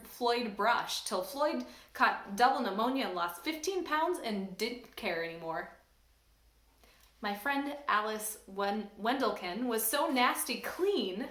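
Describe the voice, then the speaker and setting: Woman church staff member, high voice, animated storybook reader, roomy echo. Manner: animated, expressive reading. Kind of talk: storybook read-aloud.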